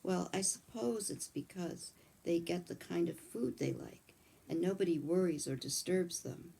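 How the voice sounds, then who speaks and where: A woman voicing a kindly old male country doctor, chatting calmly in a read-aloud storybook. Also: lowish voice, measured pace, vocal fry, slightly rough, full character voice. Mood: fond.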